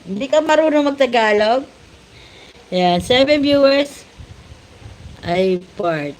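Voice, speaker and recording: Filipino woman streamer, leisurely shout-out style, faint hiss behind. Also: on the high side, expressive, slow pace, low-quality recording. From Philippines. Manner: greets viewers with repeated shout-outs, mixing Tagalog and English. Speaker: livestream host. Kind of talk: casual livestream chat.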